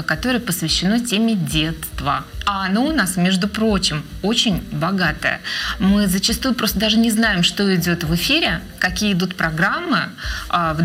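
Woman, interview guest, somewhat low voice, measured, thoughtful, hesitant fillers. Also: fairly bright tone. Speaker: guest, radio researcher. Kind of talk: interview answers.

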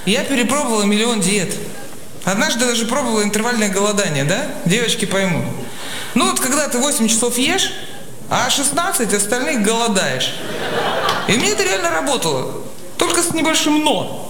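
A woman's voice: on the high side, sing-song, brisk. A woman in her forties from Yakutia doing a conversational, self-deprecating stand-up monologue to an audience. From Yakutia, Russia. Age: 40s. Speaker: stand-up comedian. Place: stage before a live audience. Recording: echoey hall, loud audience noise. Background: loud live audience.

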